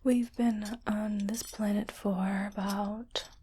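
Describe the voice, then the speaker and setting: Slow, whispering female spaceship doctor played by a woman. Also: medium pitch, flat delivery, mellow. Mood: calm, clinical. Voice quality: breathy, mostly unvoiced whisper. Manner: slow, measured, clinical and soothing. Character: calm, reassuring female ship's doctor in science fiction. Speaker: ASMR roleplay performer. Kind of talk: whispered ASMR medical roleplay.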